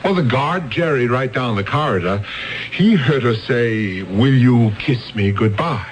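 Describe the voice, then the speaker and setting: An actor playing a blunt, pushy police lieutenant in a 1970s radio drama. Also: male voice, medium pitch, animated, measured pace, natural voice. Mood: pressing. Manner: blunt and pressing.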